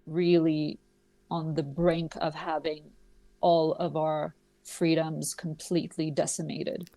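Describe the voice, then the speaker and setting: Podcast guest from Switzerland: low, monotone woman, measured and explanatory. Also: no echo. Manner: measured, explanatory, with many fillers. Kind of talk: podcast interview.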